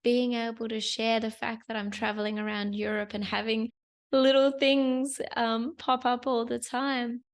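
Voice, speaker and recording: A woman's voice: fairly high pitch, little pitch movement, measured pace. A young woman who has lost her voice, hosting a chatty solo business talk to the camera with repeated phrases. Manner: chatty, repeats phrases, lots of "you guys". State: hoarse, lost voice. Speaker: host.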